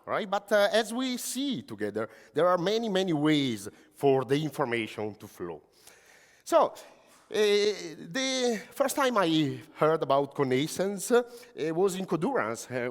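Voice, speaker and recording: An Italian-accented man speaks in a halting way, with many pauses and fillers, and his pitch rises and falls widely. His voice carries a long reverb from a large conference hall. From Italy. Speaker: conference speaker, technical coach. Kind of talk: conference talk.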